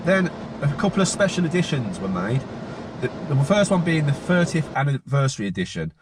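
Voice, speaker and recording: A man with a cold hosts a relaxed car video, his voice croaky and a little worn. He rambles in an easy, informal way, often restarting his sentences and leaning on fillers. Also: a little high, fairly quick, noisy background. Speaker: car channel host. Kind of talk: casual informative car vlog.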